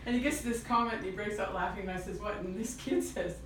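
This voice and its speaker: Woman in the crowd, lively laughing storyteller, mid pitch, heavy echo, noisy. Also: fast. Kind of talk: casual anecdote. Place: parlor of an old house, indoors. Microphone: phone camera in the audience. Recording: very echoey room, distant phone recording.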